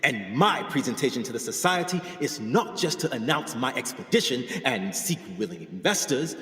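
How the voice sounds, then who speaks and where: An actor playing a proud, passionate 1920s explorer and scholar in a radio play, speaking in oratorical style. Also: male voice, medium pitch, lively intonation, normal speed, a bit bright, close to an everyday voice. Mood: grand, persuasive. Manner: declamatory, oratorical. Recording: echoey hall with long reverb.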